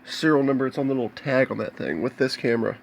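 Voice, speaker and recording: An American man talking casually and off the cuff as he shows electronics, explaining in a halting, plain-spoken way. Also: mid-range voice, expressive, creaky, a little hiss or hum. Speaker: hobbyist video maker showing electronics. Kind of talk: casual unscripted show-and-tell. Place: indoors, cluttered home workshop.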